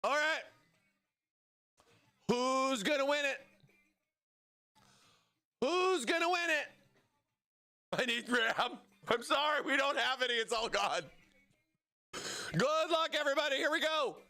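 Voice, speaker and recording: A man hosting a livestream giveaway, excitable and playful as he hypes up his chat, his voice strained from overuse. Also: very high-pitched, slow pace, gravelly, pauses often. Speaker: livestream host.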